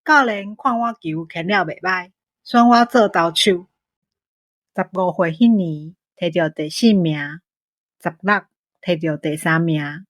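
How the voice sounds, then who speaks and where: A woman's voice: fairly low pitch, unhurried, no echo, natural voice. A woman playing a young rural villager in an audio drama, speaking with a Taiwanese accent. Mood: wistful recollection. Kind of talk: scripted audio drama acting.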